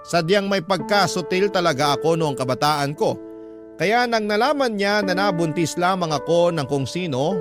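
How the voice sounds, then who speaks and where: A man narrating a scripted first-person life story for a radio drama. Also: on the high side. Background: music.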